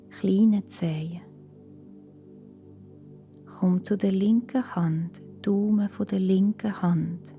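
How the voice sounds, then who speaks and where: A woman with a Swiss German accent guiding a relaxation meditation in a calm, soothing, hushed and breathy voice. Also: lowish voice. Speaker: guided relaxation instructor for children. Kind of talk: slow guided yoga nidra meditation. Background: soft music.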